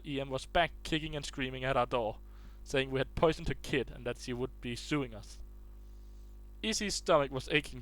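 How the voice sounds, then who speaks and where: A man's voice: mid-range voice, a bit bright, low-quality recording. A man reading online posts aloud in a casual way, stumbling and restarting words as he goes.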